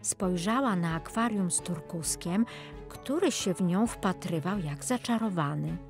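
A woman reading a scripted children's story as an expressive audiobook narrator. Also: lowish voice, measured pace. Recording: music behind the voice. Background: music.

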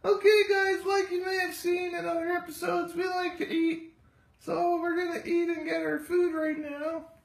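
A performer voicing a vain, sassy female house cat with a raspy, drawling voice, live-streaming from a home kitchen on a phone. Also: extremely high pitch, flat delivery, echoey room, poor audio. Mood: smug. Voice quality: raspy, gravelly. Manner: slow, drawling, full of herself. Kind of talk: live-stream pet narration. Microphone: phone camera held by the speaker.

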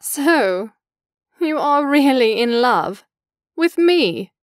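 An imperious young lady lover in an audio drama. Her voice sits fairly high, poised and articulate, with a teasing, mocking edge. The sound is close and dry. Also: big pitch swings, extremely slow, dry recording, exaggerated voice. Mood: amused, teasing.

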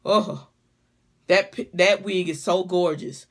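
A woman's voice: lowish voice, steady tone, slow pace. A middle-aged woman chatting casually in a home vlog, blunt and full of swearing, with comic exasperation. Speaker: vlogger reviewing a wig. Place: small living room or bedroom at home, indoors. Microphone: phone or webcam at arm's length.

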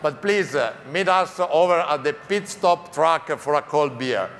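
Middle-aged Italian-accented executive, slightly high. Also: male voice, lively intonation, a bit bright, slight background noise. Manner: affable, slightly halting. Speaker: company executive presenting. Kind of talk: press conference presentation. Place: large convention hall stage. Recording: echoey convention hall with faint ambience.

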